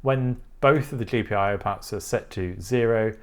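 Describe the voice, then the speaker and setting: A man's voice: lowish voice, normal speed. A man presenting a technical tutorial, explaining step by step in a methodical way. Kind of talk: explanatory programming tutorial, semi-scripted walkthrough.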